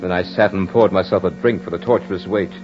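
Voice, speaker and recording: A man's voice: sits low, quick pace, close to an everyday voice. An actor playing a brooding French nobleman and husband in an old-time radio horror drama, speaking in a formal, grave, theatrical manner. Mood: helpless dread. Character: brooding French nobleman husband, narrating his tale of dread. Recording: muffled old radio recording with music.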